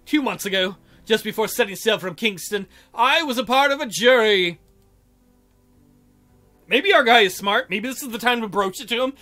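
A man's voice: high-pitched, animated, normal speed, sharp tone, faint music behind. A man reading a visual novel aloud in a theatrical way, adding irreverent joking commentary.

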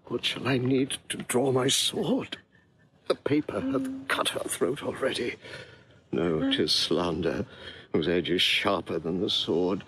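A loyal, sorrowful manservant delivers classical Shakespearean drama slowly and gravely. His pitch is middling and swoops widely across each phrase. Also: fairly bright tone, exaggerated voice.

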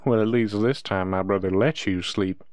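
A man's voice: fairly low pitch, normal speed, darkish tone, dry recording, clean studio sound, close to an everyday voice. A man playing a joking, easygoing werewolf brother in an audio drama, with a playful, teasing manner. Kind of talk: roleplay audio drama acting.

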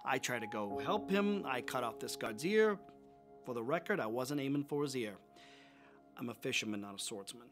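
A man's voice: mid-range voice, lively intonation, normal speed, natural voice. A man playing a remorseful, impulsive fisherman disciple in a first-person dramatic monologue, confessing with rising emotion. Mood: wry. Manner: confessional, swings from wry to anguished outbursts. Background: instrumental music.

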